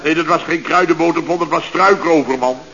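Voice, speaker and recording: A man's voice: fairly high pitch, fairly quick, vocal fry, a bit bright. An actor playing a bumbling, self-important male contractor boss in a radio comedy, stammering and repeating himself as he gives orders. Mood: exasperated, commanding. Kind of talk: 1970s Dutch radio comedy serial acting. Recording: dull, noisy vintage radio recording.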